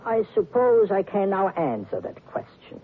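An actor playing an older, verbose, self-satisfied psychoanalyst in a theatrical, pedantic style of old-time radio drama. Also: male voice, high voice, very animated, unhurried, slight background noise. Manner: theatrical, rambling, pedantic, chuckling. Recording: muffled, lo-fi vintage radio broadcast.

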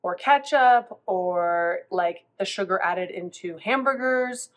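A woman's voice: mid-range voice, takes it slowly. Woman food coach in her 30s, stuffy from a cold, loose rambling vlog delivery. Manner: chatty, rambling with many restarts. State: congested from a cold. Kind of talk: casual vlog talk.

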